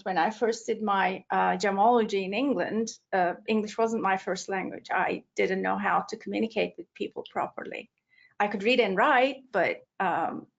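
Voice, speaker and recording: A woman's voice: on the high side, creaky, lo-fi sound. A woman interviewing a colleague, admiring and hesitant with many fillers. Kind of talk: conversational interview.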